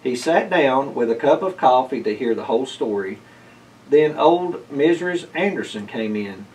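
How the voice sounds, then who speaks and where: A man's voice: mid-range voice, animated, unhurried, darkish tone. A middle-aged man reading a children's story aloud as a narrator. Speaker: storybook reader. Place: indoors, library with bookshelves. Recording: slightly distant mic, faint room noise.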